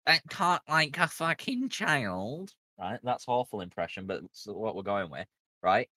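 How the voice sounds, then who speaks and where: A man's voice: on the low side, unhurried, no echo. A man co-hosting a podcast, chatting casually and ranting with lots of swearing. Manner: animated, swearing rants. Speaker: podcast co-host. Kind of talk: casual chat.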